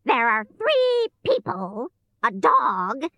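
A woman voicing a wicked, greedy storybook witch with a drawn-out, commanding and menacing delivery. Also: high voice, wildly swooping pitch, creaky, no echo, lo-fi sound, pitched above the performer's own voice, full character voice.

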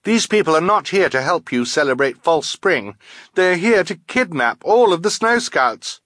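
A man doing a high, urgent brave girl. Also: sing-song, moderate pace, fairly bright tone, no room sound, clean studio sound. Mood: urgent warning. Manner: urgent, pleading, defiant.

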